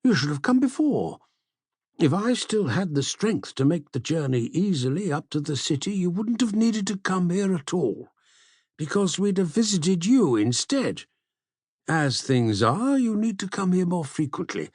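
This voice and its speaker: Man voicing a very old, sociable father, higher-pitched. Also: very animated, unhurried, a little mellow, breathy edge, no room sound, clean studio sound, exaggerated voice. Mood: welcoming, insistent. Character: very old Greek father, sociable, welcoming host.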